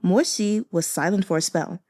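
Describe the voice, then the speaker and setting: A woman's voice: on the low side, normal speed, warm tone. A woman reading an audiobook as narrator, telling the story expressively.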